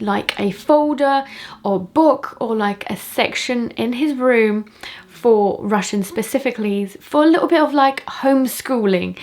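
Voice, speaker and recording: A woman in her thirties talking casually and enthusiastically to viewers as a parenting vlogger. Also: mid-range voice. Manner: chatty, enthusiastic. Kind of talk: casual chatty vlog to viewers.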